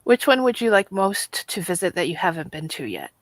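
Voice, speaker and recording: A woman's voice: fairly low pitch, very animated, fairly quick, no room sound. A woman whose first language is Latvian, a guest reading out questions in a friendly, casual chat. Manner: friendly, reads out questions, laughs easily.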